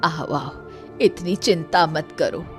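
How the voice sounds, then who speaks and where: A woman playing a kindly old forest dweller in a cartoon moral story, gentle and reassuring. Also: sits low, takes it slowly, soft background music. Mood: kindly, calm.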